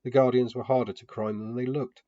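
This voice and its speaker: A man's voice: medium pitch, little pitch movement, brisk, mellow, no echo. A man narrating a story dramatically in the first person, in a muffled home recording. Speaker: narrator of a fantasy fiction podcast.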